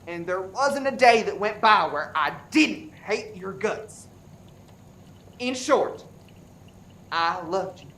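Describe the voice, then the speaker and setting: A bright, forceful, indignant husband played by an actor in a radio farce, quick to accuse and defend himself. The room rings around the voice, with faint noise. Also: mid-range voice, animated, roomy sound. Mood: bitter affection. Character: touchy, accusing widowed husband named Nick, feuding and defensive. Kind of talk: farce radio play acting.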